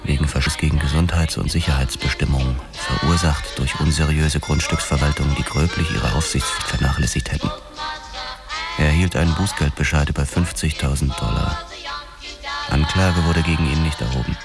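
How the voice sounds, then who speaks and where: Very deep-voiced, world-weary detective. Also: male voice, steady tone, brisk. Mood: sober, resigned. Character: world-weary disgraced American police detective narrating his downfall. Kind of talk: scripted first-person radio drama narration. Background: music.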